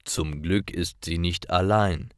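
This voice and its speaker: A man reading scripted stories aloud for language learners in a careful, deliberate manner. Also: low voice, fairly even intonation, a bit bright, clear, resonant tone, no echo, clean studio sound.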